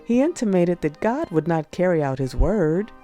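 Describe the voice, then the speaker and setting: A woman narrating religious prose as an audiobook, in a measured, solemn read-aloud delivery. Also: fairly low pitch, sing-song, mellow. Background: music.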